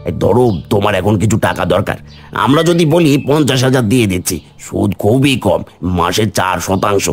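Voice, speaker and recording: A man's voice: on the low side, lively intonation, moderate pace, soft background music. A man voicing an oily, scheming moneylender's henchman in a cartoon, insinuating and persuasive. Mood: wheedling. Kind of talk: cartoon voice acting.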